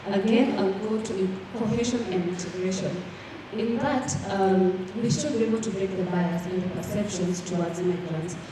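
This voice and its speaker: A woman's voice: medium pitch, moderate pace, few pauses. A young woman from Rwanda speaking earnestly as a panelist, with frequent fillers and restarts. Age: young adult. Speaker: panelist, student representative. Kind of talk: panel discussion answer. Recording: echoey hall from far off with background noise.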